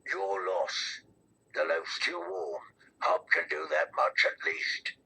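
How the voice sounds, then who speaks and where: A man voicing a sly, mocking wildling in a fantasy audiobook, with a low, gravelly, taunting delivery. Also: wildly swooping pitch, pushed and bright, a little hiss or hum, heavily acted, poor audio. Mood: insolent. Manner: taunting, unhurried. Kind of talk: fantasy audiobook character reading.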